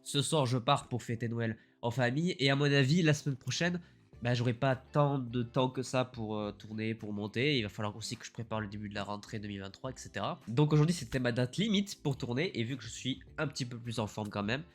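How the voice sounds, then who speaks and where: A man with a head cold, his voice a bit lower and husky, chatting enthusiastically through a year-in-review vlog. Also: brisk. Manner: chatty, enthusiastic, rambling with fillers. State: congested with a cold. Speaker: YouTuber.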